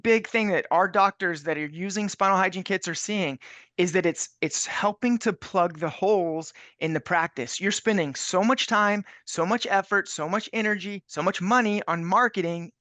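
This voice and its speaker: A man's voice: high-pitched, fairly bright tone, no room sound. A man who owns chiropractic clinics, giving persuasive business advice and stacking repeated phrases for emphasis.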